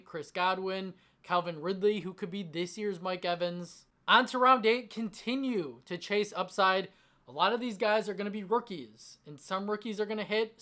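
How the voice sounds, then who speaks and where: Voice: medium pitch, bright, forceful tone. Emphatic fantasy football host, slightly strained. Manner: emphatic, enthusiastic about the picks. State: voice slightly strained from long talking. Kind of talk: direct-to-audience sports advice commentary.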